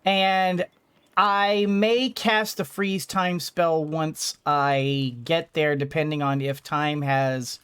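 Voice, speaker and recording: Mid-pitched, casual gaming commentator. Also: fairly bright tone, clean tone. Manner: rambling, thinking aloud. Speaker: gaming streamer.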